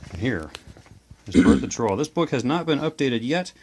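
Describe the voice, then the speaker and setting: A man's voice: medium pitch, big pitch swings, vocal fry, darkish tone, slight background noise. A man hosting a tabletop wargame show, chatting casually and enthusiastically about models, dice and rules. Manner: chatty, enthusiastic, quick asides. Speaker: host of a tabletop wargame channel.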